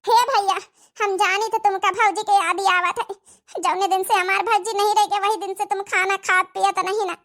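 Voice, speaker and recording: A woman's voice: extremely high pitch, steady tone, normal speed, a bit bright. A woman voicing a devoted, grieving young sister in a cartoon, pleading earnestly in an Awadhi accent. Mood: concerned pleading. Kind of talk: dramatic cartoon dialogue in dialect.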